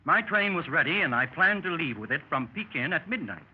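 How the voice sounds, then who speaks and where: Seasoned adventurer, animated 1930s radio voice. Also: male voice, on the high side, fairly quick, slightly rough, exaggerated voice. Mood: matter-of-fact bravado. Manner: storytelling, matter-of-fact bravado. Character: seasoned American secret service adventurer telling his exploits. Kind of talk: 1930s radio drama acting. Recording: muffled, noisy vintage 1930s radio recording.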